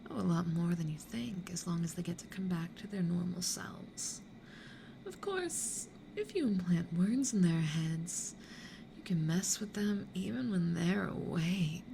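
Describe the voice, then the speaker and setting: A woman playing a playful, mischievous demon in a hushed, teasing, creaky ASMR roleplay whisper. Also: lowish voice, lively intonation, a little slow. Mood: gleeful. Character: playful, mischievous demon who toys with humans. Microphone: close studio condenser or binaural mic. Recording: clear background noise.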